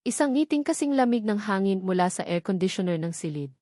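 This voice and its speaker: A woman's voice: mid-range voice, lively intonation, brisk, mellow, no echo, clean studio sound. A woman narrator reading a scripted romantic drama in storytelling style.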